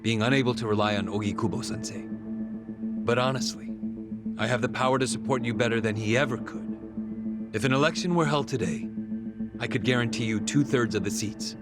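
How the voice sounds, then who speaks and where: An actor playing a coldly scheming young politician. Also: male voice, fairly low pitch, fairly even intonation, normal speed, loud music behind the voice, close to an everyday voice. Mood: persuasive. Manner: smooth, calculating, veiled threats. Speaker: governor seeking party chair.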